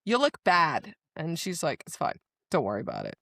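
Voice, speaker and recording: A woman with a slightly low voice chats casually as a podcast co-host. She retells book scenes conversationally and slips into little quoted exchanges. The sound is close and dry. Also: wildly swooping pitch, moderate pace, gravelly, a bit bright, no echo. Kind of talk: casual book discussion chat.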